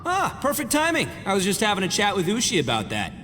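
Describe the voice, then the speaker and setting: An actor playing a brash, big-hearted young gang boss in an anime video game, slangy and bombastic. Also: male voice, high voice, huge pitch swings, fast talker, pushed and bright, a little hiss or hum, full character voice. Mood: boisterous, determined. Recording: echoing long reverb, music behind.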